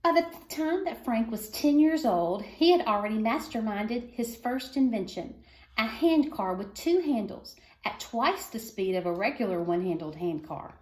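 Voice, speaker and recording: A woman's voice: fairly high pitch, expressive, normal speed, darkish tone, echoey room, poor audio. A woman reading a children's story aloud for schoolchildren, phrasing expressively and stressing key words. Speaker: reader of a children's book.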